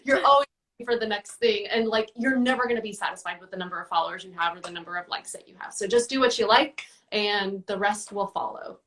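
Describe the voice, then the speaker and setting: A woman's voice: mid-range voice, fast, fairly bright tone, distant mic. A woman answering interview questions in a casual, chatty way, with many fillers and restarts. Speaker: guest, book reviewer.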